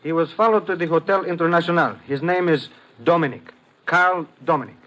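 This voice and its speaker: Courteous older Latin police captain, lightish voice. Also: male voice, on the high side, bright, forceful tone. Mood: businesslike. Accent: Latin American Spanish. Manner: formal, courteous, deliberate. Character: gray-haired wiry older Latin American male police captain, courteous. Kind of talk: 1950s radio drama acting.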